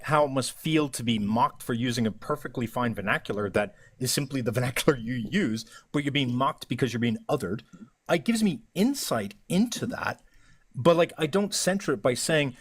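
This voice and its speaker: An Irish man in a casual live-stream chat, earnest and argumentative, explaining his view at length. His voice sits in an ordinary middle pitch. The sound is dry and close, with no room echo. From Ireland. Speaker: livestream host.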